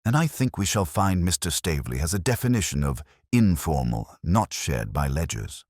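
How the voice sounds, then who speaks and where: A man voicing a mild, thoughtful police inspector in a mystery story, understated, deliberate and dryly wry. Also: a bass voice, sing-song, darkish tone, no room sound, clean studio sound, full character voice. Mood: dry, musing. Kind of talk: mystery audiobook character reading.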